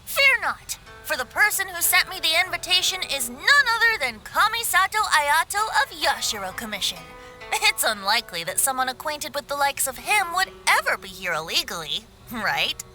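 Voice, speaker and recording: A woman's voice: high voice, sing-song, normal speed, bright, forceful tone, soft background music, full character voice. A woman playing a playful, mischievous young male bard in a video game, theatrical and lilting. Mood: smooth, reassuring. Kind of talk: video game cutscene acting.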